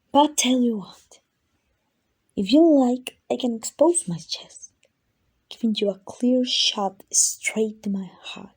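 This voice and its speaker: A woman voicing an ancient, arrogant, possessive female vampire in an ASMR roleplay, condescending and teasing, with a breathy voice that dips into whispers. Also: mid-range voice, warm tone. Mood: mocking, dominant. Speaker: amateur voice actor.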